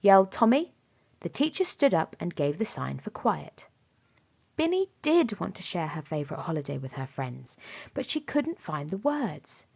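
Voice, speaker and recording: A woman reading a children's storybook aloud in an expressive, dramatic way, softening to a hush at times. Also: lowish voice, normal speed, vocal fry, breathy edge. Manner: animated, dramatic delivery with softened hushed moments. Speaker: storybook reader. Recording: music behind the voice. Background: music and story sound effects.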